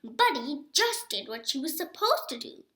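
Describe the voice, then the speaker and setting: A woman's voice: high voice, very animated, normal speed, pushed and bright, reverberant, full character voice. A woman voicing a firm, instructive male guide-dog trainer in a storybook, speaking emphatically. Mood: encouraging, matter-of-fact.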